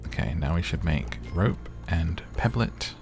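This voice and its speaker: A man keeps up soothing, unhurried gaming commentary. His voice is very deep and creaky, and faint music plays underneath. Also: expressive. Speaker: gaming streamer. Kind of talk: relaxing gameplay commentary, gaming ASMR.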